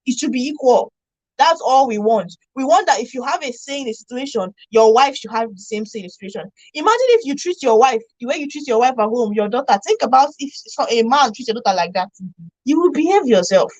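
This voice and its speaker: High-pitched, insistent Nigerian woman. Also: lively intonation, a little fast, a little mellow, no room sound, poor audio. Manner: insistent, emphatic repetition. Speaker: panel guest. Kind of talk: argumentative radio discussion.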